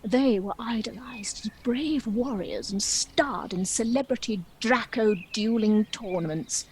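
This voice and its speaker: A woman's voice: fairly high pitch, a little slow, darkish tone, slight background noise, close to an everyday voice. A woman playing a proud, lonely, world-weary dragon in a comic play, with a theatrical, wry and indignant manner. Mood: wry, boastful. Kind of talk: dramatic monologue in a comic play.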